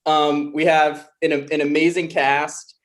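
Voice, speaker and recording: Male table-read host and stage-direction reader, higher-pitched, informal, a little halting. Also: level tone. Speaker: writer hosting and reading stage directions.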